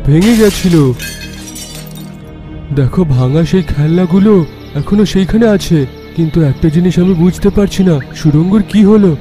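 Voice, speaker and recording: A man's voice: on the high side, close to an everyday voice. A man voicing an earnest, determined young man in a cartoon. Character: determined young man searching for his beloved. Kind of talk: cartoon voice acting.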